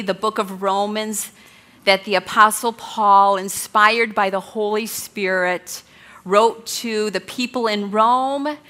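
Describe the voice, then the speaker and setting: A woman leading a women's Bible study lesson, talking loudly and in an earnest, encouraging way. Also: mid-range voice. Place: meeting room with a blower.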